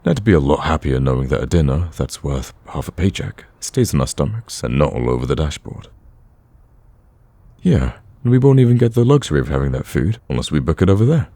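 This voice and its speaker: An eager villain in love, acted by a man for a romantic ASMR roleplay. His voice is extremely low, dark and mellow, with a husky rasp. He talks in a casual, conversational way and gets flustered easily around his date. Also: big pitch swings, brisk, full character voice. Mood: earnest, reassuring.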